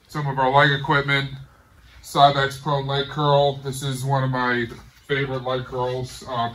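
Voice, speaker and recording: A middle-aged man casually showing off his garage gym, talking in a relaxed, rambling way with many pauses. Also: mid-range voice, far from the mic, rough recording. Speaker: home gym owner giving a tour. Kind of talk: casual walk-through tour. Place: large garage home gym.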